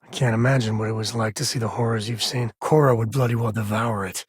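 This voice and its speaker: A man playing an easygoing, wry space-faring rogue and protective father in a video game, with a husky, rough-edged voice and a casual, folksy manner. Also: lowish voice, steady tone, fast, dry recording, studio quality. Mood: sincere. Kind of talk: video game character voice lines.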